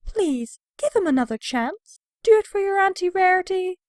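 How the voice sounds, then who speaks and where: A woman's voice: very high-pitched, animated, normal speed, no echo, clean studio sound. A woman voicing an elegant, doting young female pony with refined, theatrical manners in an audio drama. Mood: gentle pleading. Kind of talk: scripted audio drama character acting.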